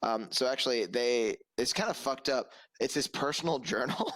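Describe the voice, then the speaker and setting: A young man from the American South, co-hosting a podcast in a joking, relaxed casual chat over a headset mic at home. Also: mid-range voice, moderate pace, dry recording, low-quality recording. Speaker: podcast co-host. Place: small room with pink lighting, at home.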